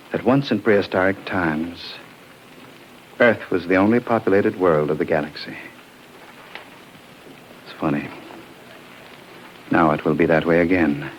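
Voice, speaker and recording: An actor playing a desperate, bitter scientist in an impassioned 1950s radio drama. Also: male voice, deep voice. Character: desperate, bitter male scientist pleading to save the galaxy. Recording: muffled, noisy old radio recording.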